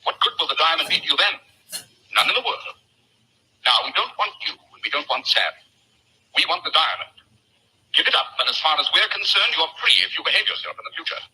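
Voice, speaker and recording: Commanding British radio detective: theatrical, coolly mocking, very animated, husky-edged old broadcast sound. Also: male voice, fairly high pitch, brisk, long pauses, exaggerated voice, poor audio. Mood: firm, persuasive. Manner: crisp, teasing, theatrical delivery. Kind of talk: old-time radio mystery acting. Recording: old radio recording, bright and rough.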